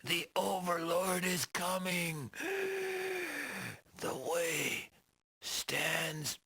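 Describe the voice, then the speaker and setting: A brooding, dramatic video game villain, with a husky, tense edge. He savors his words very slowly and haltingly in a dry recording. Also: fairly high pitch, steady tone, sharp tone, no room sound, exaggerated voice. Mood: strained exultation. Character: smug, dramatic male villain, theatrical and brooding. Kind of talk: video game cutscene acting.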